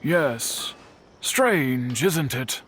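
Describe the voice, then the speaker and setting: A man voicing an older master bladesmith in a video game, slow, dignified and reflective. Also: mid-range voice, expressive, fairly bright tone, faint music behind.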